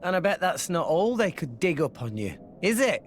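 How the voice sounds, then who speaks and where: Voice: medium pitch, very animated, moderate pace, a little hiss or hum, close to an everyday voice. An actor playing an earnest, brave teenage boy adventurer in a video game cutscene. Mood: cocky. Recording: loud music behind the voice.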